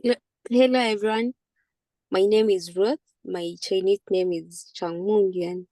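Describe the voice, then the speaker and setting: A woman's voice: mid-range voice, steady tone, unhurried, dark tone, dry recording. A woman greeting an online class cheerfully and introducing herself. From Kenya. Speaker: class participant. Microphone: video call.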